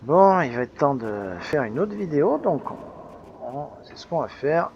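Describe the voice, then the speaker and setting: Guy with a gravelly voice chatting through his game, lots of ums and restarts, bit of background hiss. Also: a little high, very animated, normal speed, darkish tone, muffled recording. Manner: rambling, hesitant, full of fillers and restarts. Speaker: let's play host. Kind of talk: casual gameplay commentary.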